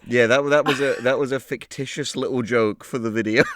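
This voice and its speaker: A man's voice: medium pitch, steady tone, brisk, heavy background noise. A man co-hosting a casual comedy podcast, joking and often laughing as he talks. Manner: jokey, laughs while talking. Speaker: co-host.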